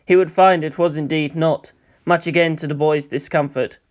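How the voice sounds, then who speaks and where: A man narrates prose fiction in a high-pitched voice. He reads from a script, telling the story between lines of character dialogue. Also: steady tone, moderate pace. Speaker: amateur audiobook reader.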